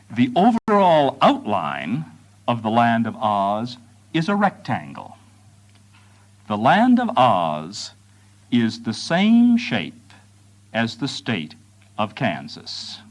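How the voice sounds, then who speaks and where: A man gives a prepared public lecture in a medium-pitched voice. His intonation rises and falls widely as he moves through measured, deliberate phrases. He sets off key words with emphatic pauses.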